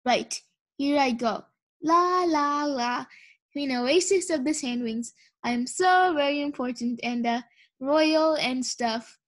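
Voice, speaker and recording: A woman's voice: sits high, slow pace, fairly bright tone. A woman voicing a small young female dragon who is nervous and puts on a self-important queenly act in a storybook. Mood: nervous, unsure. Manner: hesitant, then theatrically self-important.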